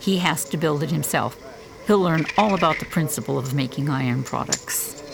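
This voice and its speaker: A woman's voice: sits low, moderate pace, vocal fry, background noise. A woman playing a skeptical, principled expedition colleague in a science fiction radio drama, dry and questioning.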